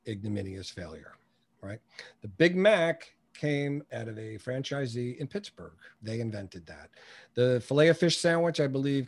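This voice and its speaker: A man's voice: medium pitch, darkish tone. A man in his early sixties talking as an interview guest, giving blunt, opinionated advice and anecdotes in punchy, emphatic, colloquial phrasing. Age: early 60s. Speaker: interview guest, business coach.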